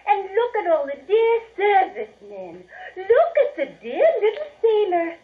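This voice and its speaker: A woman's voice: extremely high pitch, animated, creaky, full character voice. An actress playing a gushing, falsely sweet nightclub singer addressing her audience in theatrical 1940s radio style. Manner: syrupy, theatrical, drawn-out. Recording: muffled vintage 1940s radio recording.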